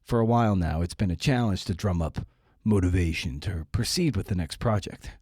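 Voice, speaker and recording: Male podcast host, lower register, wry and self-deprecating, conversational, very dry recording. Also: brisk, a little mellow, husky, no echo. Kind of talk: casual podcast interview and wry monologue.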